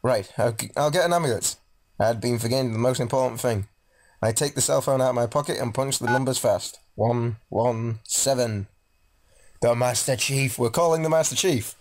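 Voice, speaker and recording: A man's voice: medium pitch, moderate pace, slight background noise. A man doing a let's play, reading visual novel narration aloud dramatically and adding casual, jokey asides. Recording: game music behind the voice.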